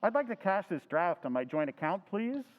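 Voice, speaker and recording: An actor playing a nervous, scheming middle-aged husband in an old-time radio drama recreation, hesitant and stammering. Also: male voice, high voice, big pitch swings, brisk, big-room sound. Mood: alarmed. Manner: hesitant, full of uh's and stammers. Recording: dull, lo-fi sound.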